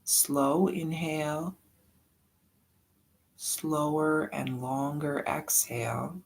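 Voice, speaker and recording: A meditation guide giving soft-spoken, drawn-out breathing instructions with long pauses between phrases. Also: medium pitch, steady tone, creaky, poor audio.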